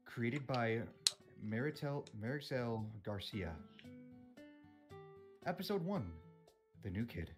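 A woman playing a deliberate webtoon narrator, reading titles and sound effects in a drawn-out, deadpan way. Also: very deep voice, heavily acted. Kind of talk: amateur live webtoon dub. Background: guitar music.

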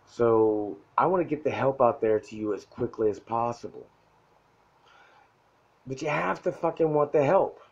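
A soft-spoken man giving a blunt, profane, pushy motivational talk straight to the camera as a self-help vlogger. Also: mid-range voice, moderate pace, gravelly, highs cut off. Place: indoor room at a whiteboard. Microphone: phone camera mic.

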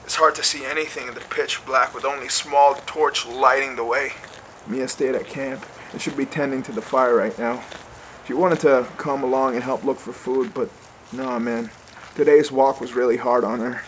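A man's voice: medium pitch, moderate pace, fairly bright tone, slight background noise, natural voice, poor audio. An actor playing a weary, sweary survivor narrating his own trek in a dramatic audio series. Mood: weary, determined. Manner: casual, hesitant in dialogue, swearing. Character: sweary, weary male survivor trekking through snowy wilderness. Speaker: narrator and lead character. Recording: music bed under the voice. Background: soundtrack music.

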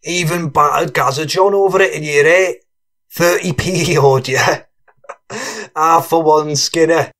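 A man's voice: fairly high pitch, a little slow, fairly bright tone. A man playing a cheerful, boastful drug dealer in an audiobook, slangy and teasing. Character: cheerful, boastful, cackling male drug-dealing pal. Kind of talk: full-cast audiobook character acting.

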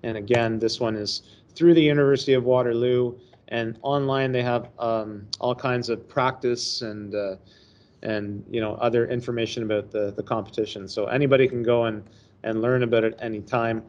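A man's voice: medium pitch, steady tone, normal speed, darkish tone, muffled recording. A middle-aged male teacher giving a casual, chatty screen-share presentation on a video call. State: hoarse, coughing. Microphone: webcam on a laptop.

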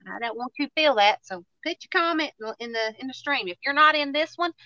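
A woman's voice: high voice, animated, fast, bright, forceful tone, no room sound, lo-fi sound. A woman in her thirties with a Southern US accent, chatting and joking casually while hosting a craft livestream. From Tennessee, USA. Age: 30s. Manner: chatty, joking, self-mocking.

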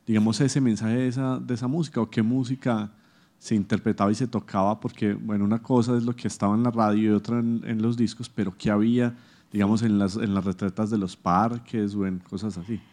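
Mellow young man asking. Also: fairly low pitch, flat delivery, a little fast. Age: young adult. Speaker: audience member. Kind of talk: asking a question. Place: auditorium seating. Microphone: handheld microphone.

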